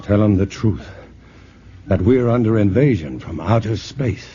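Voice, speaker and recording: An actor playing a calm, earnest male scientist in a 1950s radio drama. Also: fairly low pitch, measured pace. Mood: grave. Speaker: lead actor. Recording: hissy lo-fi 1950s radio broadcast.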